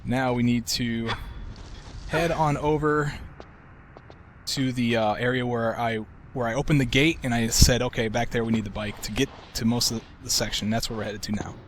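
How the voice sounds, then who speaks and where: A man walks viewers through a video game in a relaxed, conversational way. His voice sits at an ordinary middle pitch and has a soft, soothing quality. Game sounds are clearly audible behind him. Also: normal speed, a little hiss or hum. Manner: relaxed, soft-spoken, self-described soothing voice. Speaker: game walkthrough commentator. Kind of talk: casual gameplay commentary.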